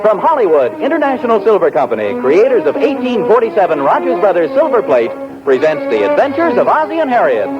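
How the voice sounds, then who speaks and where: A man's voice: sits high, huge pitch swings, fast talker. A man announcing and narrating a 1940s radio sitcom in an emphatic style. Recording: dull lo-fi 1940s radio broadcast. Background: orchestra music.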